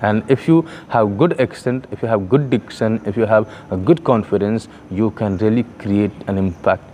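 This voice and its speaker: A man's voice: fairly low pitch, normal speed, mellow, slight background noise. A man giving an earnest spoken lesson to camera, with frequent fillers and restarts. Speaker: English teacher.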